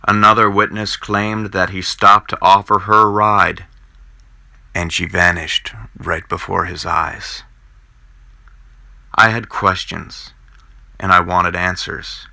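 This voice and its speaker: Deep, sharp-voiced man telling ghost stories. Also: vocal fry. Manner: measured, dramatic, suspenseful storytelling. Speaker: narrator and host of a paranormal show. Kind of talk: scripted first-person ghost-story narration in old-time radio fashion.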